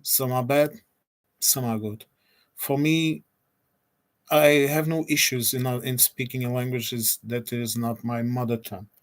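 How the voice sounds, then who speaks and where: A man in his forties from Portugal with a Portuguese accent, talking without a script on a solo livestream and pausing often to restart his phrases. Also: medium pitch, steady tone. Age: 40s. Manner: hesitant, pauses often with restarts and fillers. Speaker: streamer. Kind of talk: unscripted solo livestream chat.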